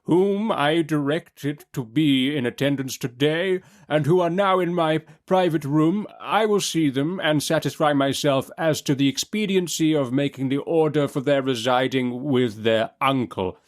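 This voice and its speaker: Man acting a slow, pompous judge, high-pitched. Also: lightly acted. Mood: deliberate, distinct. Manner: drawn-out, hesitant, over-distinct, pompous. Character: grand, deliberate elderly male judge, restless, extra distinct. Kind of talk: audiobook character acting.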